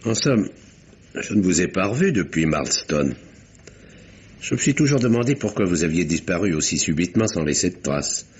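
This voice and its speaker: A man's voice: low voice, lively intonation, moderate pace, husky. An actor playing an earnest, insistent older uncle in an old French radio drama, speaking formally and articulately as he probes. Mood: probing. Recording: dull, noisy vintage radio recording.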